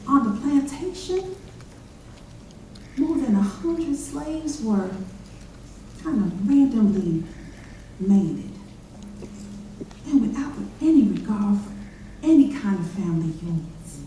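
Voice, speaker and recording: A woman acting a ninety-six-year-old formerly enslaved woman recounting her life in deliberate, folksy readers theater storytelling. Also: medium pitch, lively intonation, dark tone. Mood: reflective. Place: chapel stage performance. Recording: very echoey chapel, far-off mic, faint noise.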